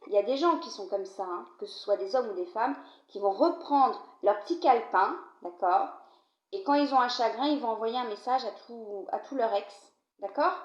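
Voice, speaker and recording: A woman with a cold giving a card reading, talking straight to the viewer in a chatty way and often checking in with the listener. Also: moderate pace. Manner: conversational, addresses viewer directly with frequent "d'accord?". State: has a cold, coughing. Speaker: card reader. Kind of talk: tarot-style card reading to camera. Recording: low-volume, dull, roomy home recording.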